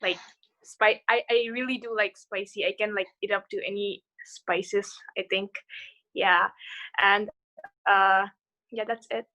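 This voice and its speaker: A woman's voice: medium pitch, fairly even intonation, a little slow, pushed and bright, rough recording. A young woman from Nepal chatting on a video call, hesitant and full of fillers. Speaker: student participant. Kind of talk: casual video call chat.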